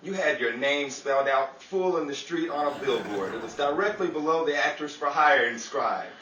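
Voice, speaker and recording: A man's voice: on the high side, lively intonation, normal speed, bright, forceful tone. A man playing a jaunty, bantering magician and boarder in a 1930s radio comedy. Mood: teasing. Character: jaunty male boarder, a teasing magician and nutritionist. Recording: echoey, distant stage with faint noise.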